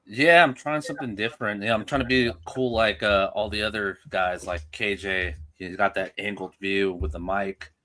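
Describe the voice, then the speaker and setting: A man's voice: fairly low pitch, fairly even intonation, normal speed, hardly any pauses, pushed and bright. A man joining a livestream as a co-host, chatting casually in an easygoing way.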